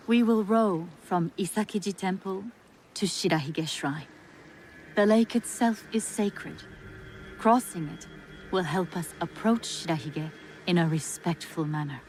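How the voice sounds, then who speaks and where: Game noblewoman, feudal Japan, played by an actress: gracious, devout, composed, medium pitch. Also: measured pace, long pauses, a little mellow, a little hiss or hum, lightly acted. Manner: formal, composed. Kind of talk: video game cutscene acting.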